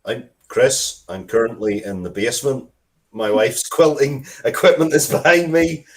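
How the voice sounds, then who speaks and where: A man talking casually as an interview guest, halting and wry, often restarting his sentences. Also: medium pitch. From Ireland. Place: basement, at home.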